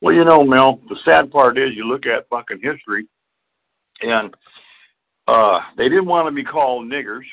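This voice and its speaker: A man's voice: mid-range voice, moderate pace, gravelly. A man co-hosting a podcast in casual chat, crude and swearing heavily. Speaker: podcast co-host. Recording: dull lo-fi audio.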